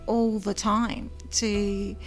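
A woman's voice: medium pitch, little pitch movement, faint music behind. Earnest woman recalling her work. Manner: earnest, measured, with frequent ums. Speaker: former psychic line worker, guest. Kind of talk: interview, personal recollection.

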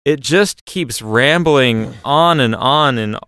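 A man on a podcast panel giving a casual film review in a halting, rambling way. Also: medium pitch, a bit bright, smooth, dry recording, studio quality.

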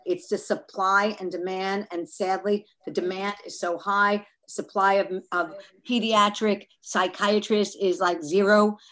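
A woman speaks frankly and earnestly as a mental health advocate. Her pitch sits in the middle range for a woman, and she often circles back and restarts her sentences as she makes her appeal. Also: fairly even intonation, unhurried, few pauses, a bit bright. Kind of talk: casual podcast interview.

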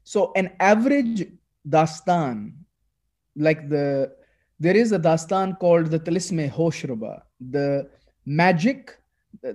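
Man, fairly high voice, talking excitedly in a casual interview. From Pakistan. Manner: animated, many restarts and fillers. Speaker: guest author.

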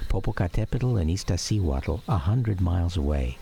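An actor playing a grown son recalling his childhood, narrating a radio drama in a measured, reflective way. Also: low-pitched, animated, vocal fry. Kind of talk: scripted first-person radio drama narration. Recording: noisy outdoor ambience with insects behind.

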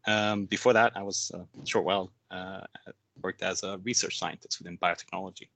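A man's voice: sits low, fairly even intonation, a little fast, a bit bright, no room sound. A man from Sweden, a podcast guest introducing his background in hesitant casual talk. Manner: hesitant, many ums and uhs. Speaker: podcast guest, head of product. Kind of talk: casual panel chat.

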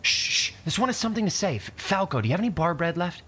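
Audio drama young man, played by a man: guilt-ridden, impulsive, stammering, rough-edged and animated. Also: high voice, fast, exaggerated voice. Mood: impatient, coaxing. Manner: impulsive, stammering when upset. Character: anxious, guilt-ridden young man, loyal to his friend.

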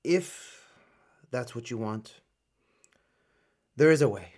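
A man acting in a radio play as a stern but protective older colonel and uncle figure, grave and deliberate. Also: mid-range voice, animated, darkish tone. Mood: resigned, businesslike.

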